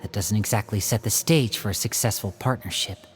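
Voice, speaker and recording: A man playing a composed, loyal young operative in a video game, measured and earnest as he leads. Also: lowish voice, long reverb tail, a little hiss or hum, lightly acted. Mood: composed, matter-of-fact. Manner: measured, explanatory, firm. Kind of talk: video game character dialogue.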